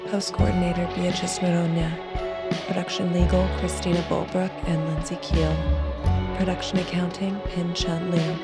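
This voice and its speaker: A woman announcer reads scripted credits in a flat, measured way. Her voice is on the low side with audible fry, and loud music plays beneath it. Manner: measured, matter-of-fact. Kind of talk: scripted credits and sponsor read. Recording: loud music bed under voice.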